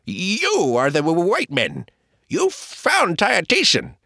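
An earnest Caddo man , voiced by a man narrating a storybook, stammers through halting English in a raised, eager voice. The delivery is lively and careful. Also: sits high, creaky, no echo, studio quality, voice pushed up, heavily acted. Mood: urgent.

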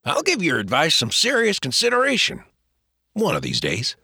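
A man voicing a good-humored young frontier friend who teases playfully in a lively voice. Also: a little high, normal speed, slightly rough, dry recording, studio quality, pitched above the performer's own voice, exaggerated voice. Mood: teasing. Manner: playful, gently mocking.

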